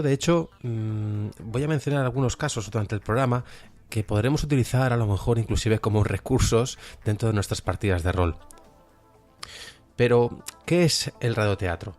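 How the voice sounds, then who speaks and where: A man with a Spain Spanish accent presenting a podcast in an explanatory, measured way. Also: lowish voice, a little mellow. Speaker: podcast host. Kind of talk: podcast presentation, semi-scripted. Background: music.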